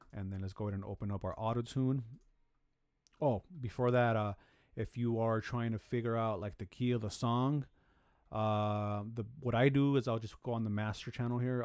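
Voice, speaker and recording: A man hosting a music production tutorial from a home studio, talking through his steps in a relaxed, rambling way with lots of fillers and restarts. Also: clean tone, no echo. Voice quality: deep voice. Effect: auto-tune pitch correction on some lines. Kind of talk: casual screen-recorded tutorial. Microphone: studio vocal microphone.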